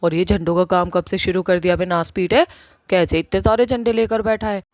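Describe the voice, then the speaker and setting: A woman's voice: lowish voice, steady tone, fairly quick, darkish tone, dry recording, clean studio sound, lightly acted. A woman voicing an angry, bossy witch in a comic horror cartoon, scolding emphatically and repeating words. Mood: teasing admiration. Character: angry, foul-mouthed village witch, bossy and threatening. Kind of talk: Hindi cartoon horror-comedy acting.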